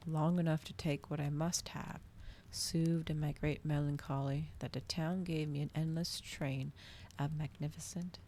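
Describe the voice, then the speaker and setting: A woman softly reading a story aloud in ASMR style, hushed and often slipping into a breathy whisper. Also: deep voice, a little hiss or hum. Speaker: streamer reading a novel aloud.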